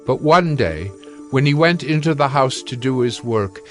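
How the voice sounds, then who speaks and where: A man narrating scripture from a script in a solemn, measured manner. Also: medium pitch, expressive, soft background music.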